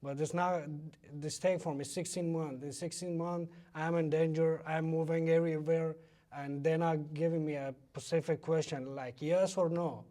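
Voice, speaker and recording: A man from Afghanistan with an Afghan accent, a native Pashto speaker, answering interview questions in halting English. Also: on the high side, steady tone, mellow. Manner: halting, non-fluent English with repeated phrases. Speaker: interview guest, former military interpreter.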